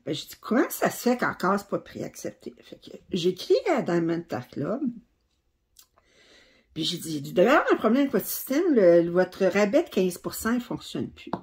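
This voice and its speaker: A woman's voice: lowish voice, very animated, moderate pace, darkish tone, husky. A middle-aged woman with a Québécois French accent, hosting a craft channel and chatting casually to camera with many fillers and restarts. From Quebec, Canada.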